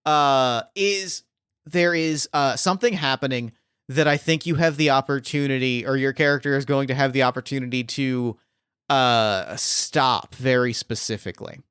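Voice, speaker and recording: A man's voice: mid-range voice, normal speed, a bit bright, smooth, dry recording, clean studio sound. A man acting as game master, thinking aloud with many fillers and restarts as he sets up a scene. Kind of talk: improvising a tabletop game scene.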